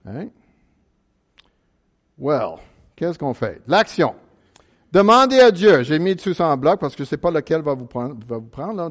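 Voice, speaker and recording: Earnest American man preaching in French. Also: fairly high pitch. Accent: American English. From United States, Chicago suburbs. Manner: earnest, halting with many restarts, prayerful. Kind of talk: sermon.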